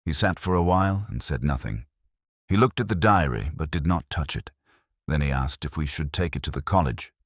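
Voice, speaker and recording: Man, very deep voice, dry studio sound, weary detective narrator, brooding, measured, scripted mystery story. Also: lively intonation, dry recording. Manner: measured, brooding, literary delivery in short clipped phrases. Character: weary, introspective male detective narrating his case.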